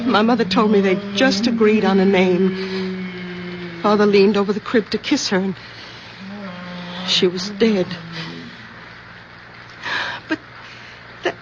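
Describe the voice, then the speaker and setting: An actress playing an anxious, protective daughter of about forty, earnest and hesitant, in an old-time radio drama. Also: female voice, mid-range voice, natural voice. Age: 40s. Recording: dull, very noisy old radio broadcast.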